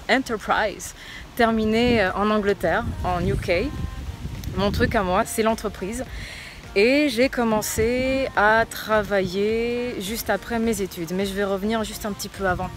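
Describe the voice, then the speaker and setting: A woman's voice: medium pitch, fairly even intonation, a little slow, faint music behind. A young woman from Portugal with a light northern Portuguese accent, a fitness coach telling her own story in a chatty vlog, with frequent fillers.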